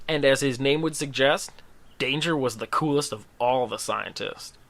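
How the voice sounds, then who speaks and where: A man's voice: mid-range voice, moderate pace, a bit bright. A man telling a comedic scripted story in a dramatic, tongue-in-cheek way, with casual asides. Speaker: storyteller, host of a story series.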